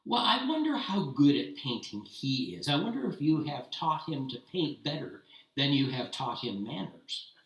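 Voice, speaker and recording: A man's voice: mid-range voice, big pitch swings, moderate pace, a bit bright. A man voicing a cruel, haughty tsar who talks in an imperious, mocking way. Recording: roomy, distant mic.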